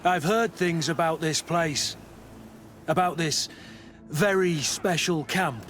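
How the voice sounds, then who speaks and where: An actor playing a brooding, suspicious veteran soldier in a video game, with a terse and blunt way of talking. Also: male voice, a little high, takes it slowly, a bit bright, slight background noise. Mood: reserved. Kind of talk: video game dialogue acting.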